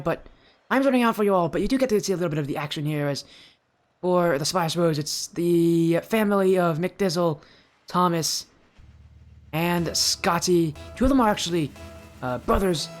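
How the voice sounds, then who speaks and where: A teenage boy with a high-pitched, youthful voice, chatting with his live stream audience and casting a video game match, loud and excited on goals. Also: normal speed, soft background music. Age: teens. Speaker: esports caster. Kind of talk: live stream chat and play-by-play commentary.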